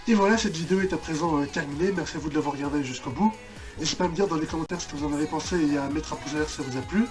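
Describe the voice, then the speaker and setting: A man giving casual, unscripted reaction commentary as a video host, haltingly, often repeating and rephrasing himself. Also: on the high side. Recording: roomy echo, music behind.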